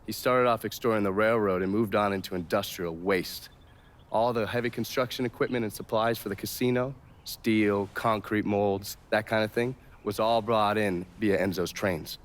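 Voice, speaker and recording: An actor playing a seasoned, cynical war-veteran operative in a video game, with an American accent and a wry, blunt manner. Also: male voice, on the low side, fairly even intonation, normal speed, close to an everyday voice. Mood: businesslike, cynical. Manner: wry, blunt, matter-of-fact. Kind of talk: cinematic video game drama.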